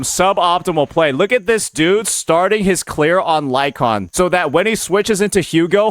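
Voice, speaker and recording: Brief: male streamer mocking a rival's gameplay, dry close sound, animated delivery. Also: fairly high pitch, no echo. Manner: mocking, profane, exasperated. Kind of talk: reacting to gameplay footage.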